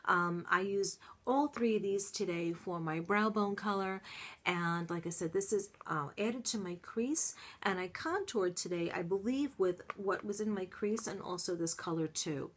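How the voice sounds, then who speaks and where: A middle-aged woman vlogger, congested, chatting casually through her favorite beauty products with lots of gushing and little ums. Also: mid-range voice. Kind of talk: casual favorites review to camera.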